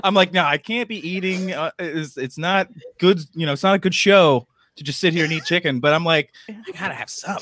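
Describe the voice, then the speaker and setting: A man's voice: on the high side, fairly quick, a bit bright, no echo. A man hosting a live online table read with casual banter over a video call. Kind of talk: casual banter, reading out chat comments.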